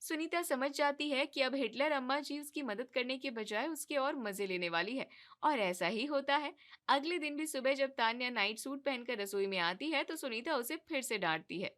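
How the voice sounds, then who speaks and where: Bright-voiced female storyteller. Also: sits high, moderate pace. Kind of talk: scripted story narration.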